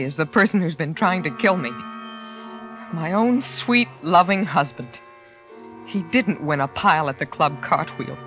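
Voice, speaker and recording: An actress playing a frightened, desperate wife in an old-time radio suspense drama, hesitant and pleading. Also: female voice, fairly low pitch, a bit bright. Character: frightened, desperate wife who narrates her own peril. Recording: dull vintage radio recording with music.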